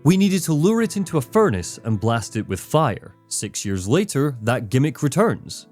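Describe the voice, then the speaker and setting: A man's voice: medium pitch, very animated, normal speed, darkish tone. A man delivering scripted narration for a critical video essay, with dramatic, emphatic phrasing. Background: background music, guitar.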